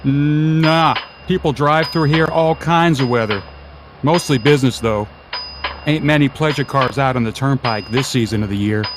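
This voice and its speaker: A man's voice: medium pitch, steady tone, normal speed, noisy background, natural voice. A man playing a plain-spoken, chatty gas station attendant in an amateur radio play, with a folksy, colloquial manner. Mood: polite, easygoing.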